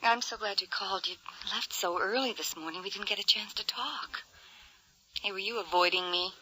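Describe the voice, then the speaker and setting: A woman playing a caring, practical young wife and mother in a radio drama, reassuring and gently prodding. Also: mid-range voice, moderate pace, natural voice, low-quality recording. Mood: concerned, reassuring. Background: music.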